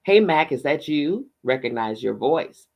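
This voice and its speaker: A man's voice: mid-range voice, normal speed, lightly acted. A man voicing a matronly, gossipy small-town postmistress with folksy, bantering talk in a read-aloud story. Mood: chatty, helpful.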